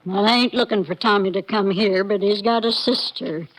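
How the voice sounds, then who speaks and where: An actress playing a cagey, mercenary, none-too-bright city woman in a 1950s radio drama, slangy, with an American accent. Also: mid-range voice, moderate pace, close to an everyday voice, rough recording. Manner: slangy, streetwise.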